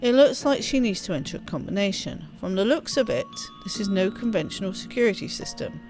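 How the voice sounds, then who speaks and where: A woman reading a game's story text aloud in an expressive storybook style, with offhand asides as she plays. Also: mid-range voice, a little fast, faint music behind. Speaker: let's play host. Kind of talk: reading game narration aloud.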